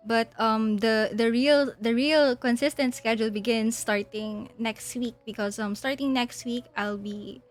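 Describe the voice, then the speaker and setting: A young woman from Asia hosting a livestream, chatting casually in a halting, giggly way. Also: a little high. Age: young adult. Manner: giggly, frequently laughing mid-sentence. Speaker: livestream host. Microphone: mic on a boom arm.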